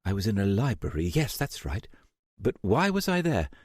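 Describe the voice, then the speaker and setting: A man's voice: on the low side, very animated, normal speed, no echo, clean studio sound, pitched above the performer's own voice. A man voicing a frightened young woman journalist, dazed and struggling to remember. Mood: confused, searching.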